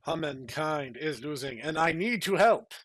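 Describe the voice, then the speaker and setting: A man playing an earnest, over-dramatic young battle hero in a comic reading, declaiming loudly with drawn-out words. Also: fairly high pitch, animated, slight background noise. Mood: defiant, heroic.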